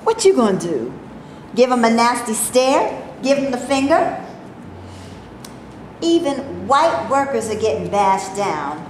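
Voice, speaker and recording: Woman playing a high-voiced, sharp-tongued actress in echoey room. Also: sing-song, slow, pauses often. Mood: weary, skeptical. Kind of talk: staged play reading. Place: indoor room, live staged reading. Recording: echoey room, hissy amateur video.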